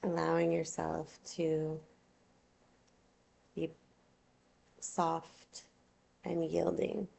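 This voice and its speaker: A woman teaching yoga nidra, guiding a relaxation meditation in a soothing, unhurried, gentle way. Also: sits low, monotone, gravelly.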